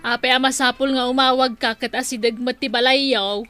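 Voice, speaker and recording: Comic drama: woman playing demanding village lender, high bright voice, curt delivery, Ilocano accent. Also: fairly even intonation, normal speed, dry recording, faint music behind. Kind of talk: comedic radio-style drama acting.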